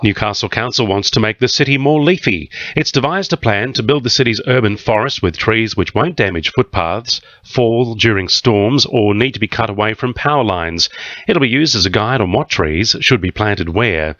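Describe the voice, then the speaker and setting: A man's voice: on the low side, expressive, moderate pace, a bit bright, no echo, dull audio. An Australian man reading a scripted radio news bulletin as the newsreader.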